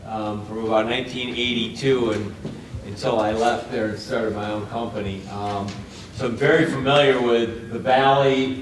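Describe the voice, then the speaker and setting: Older guy in his 60s on a panel, loud and blunt, really echoey room, kinda far from the mic, some hum behind. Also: medium pitch. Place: conference room.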